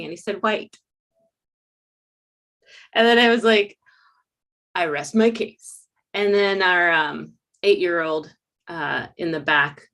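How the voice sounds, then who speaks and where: Chatty woman, Spanish native, low voice. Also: a bit bright. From Puerto Rico. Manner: chatty, rambling, self-correcting. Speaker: watercolor teacher hosting a livestream. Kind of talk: casual livestream chat. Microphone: desk USB microphone.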